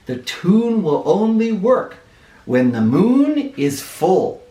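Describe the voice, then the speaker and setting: A man's voice: high voice, very animated, takes it slowly, dark tone, pitched above the performer's own voice, exaggerated voice. A man voicing a very old, kindly woodcarver in a storybook, with a knowing, proud way of speaking. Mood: instructing. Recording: roomy, distant microphone.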